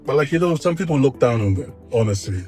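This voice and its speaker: A man with a thick Tongan accent speaks in a relaxed, reflective way, pausing and restarting as he recalls things. He is middle-aged and sounds like a guest in an easygoing interview. Faint music plays quietly behind him. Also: medium pitch. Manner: relaxed, reflective, some hesitations. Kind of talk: casual conversational interview.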